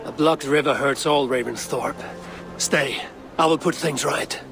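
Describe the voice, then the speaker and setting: A resolute Viking warrior for a game cutscene. His voice sits a little high for a man and he talks in short, plain, commanding lines, wary of others. Faint ambient noise sits behind him. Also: measured pace. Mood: resolute, blunt. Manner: terse, direct, plain-spoken. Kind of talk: video game cutscene acting.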